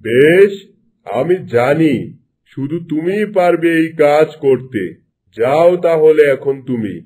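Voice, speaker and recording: Man playing a mellow-voiced, scheming cartoon king. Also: a little high, animated, takes it slowly, husky, full character voice. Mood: commanding, sly. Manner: imperious, commanding. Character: vain, scheming, greedy king. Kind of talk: Bengali cartoon dubbing.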